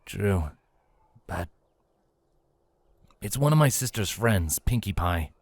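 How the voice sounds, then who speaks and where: A worried stallion prince, acted by a man reading a story, talks in a lowish voice with wry, sighing exasperation. The recording is dry and close. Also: expressive, slow pace, no room sound, heavily acted. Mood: uneasy.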